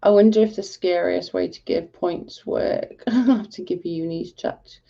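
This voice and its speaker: A woman's voice: on the low side, a little slow, creaky, warm tone, highs cut off. A Welsh woman hosting a casual livestream, talking bluntly and with exasperation to her chat. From Wales. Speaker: livestream host.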